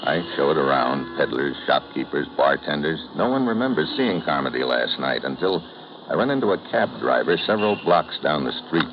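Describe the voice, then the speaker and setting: Very deep-voiced, hard-boiled American agent. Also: male voice, lively intonation, moderate pace, music in the background. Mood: terse, matter-of-fact. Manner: terse, hard-boiled, slangy. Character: tough, wry male American secret agent. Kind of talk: 1950s radio drama acting and first-person narration. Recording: muffled, noisy vintage radio recording.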